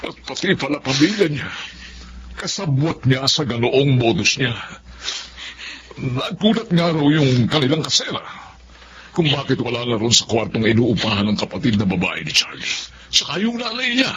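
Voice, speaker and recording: A kindly older father in a radio drama, earnest and trusting, with a mid-pitched voice that swings widely. There is clear background noise. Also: very animated, moderate pace, a bit bright, dull audio. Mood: shocked, hurt. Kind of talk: Tagalog radio drama acting.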